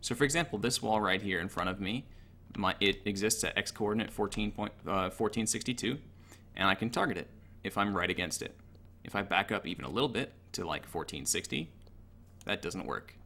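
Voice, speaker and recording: A man's voice: fairly low pitch, brisk, pushed and bright. A man giving an informal, technical tutorial over gameplay in a matter-of-fact, analytical way. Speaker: gaming tutorial narrator.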